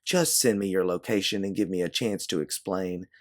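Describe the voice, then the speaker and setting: A man's voice: sits low, normal speed, close to an everyday voice. A man voicing an evasive, persistent woman who placates and presses in an audio story. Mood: placating, insistent. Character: insistent, evasive woman on a phone call.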